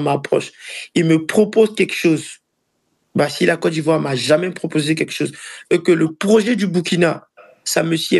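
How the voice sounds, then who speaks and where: A man in his early twenties with an Ivorian accent, a footballer answering interview questions casually and haltingly, often repeating phrases. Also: on the high side, creaky, husky. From Ivory Coast. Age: early 20s. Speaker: professional footballer being interviewed.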